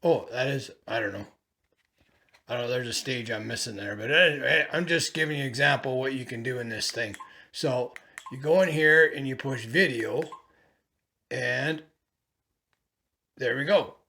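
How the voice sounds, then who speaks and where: A man in his fifties with a rough voice, casually reviewing a camcorder at home and talking through each step as he handles it, with plenty of ums and uhs. He records himself in a small bedroom on the camera's own mic, sometimes standing several feet back. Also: medium pitch, normal speed, long pauses, bright, forceful tone. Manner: rambling, talks while fiddling with gear, many fillers. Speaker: product reviewer. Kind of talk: casual hands-on product review. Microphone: camcorder's own shotgun mic, from a few feet to eight feet away.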